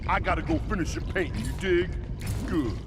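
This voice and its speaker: A man voicing a boastful bear villain and artist in an animated game, talking in swaggering, rhythmic slang. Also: a little high, a little fast, noisy background, lightly acted. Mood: bossy. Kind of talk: animated video game voice acting.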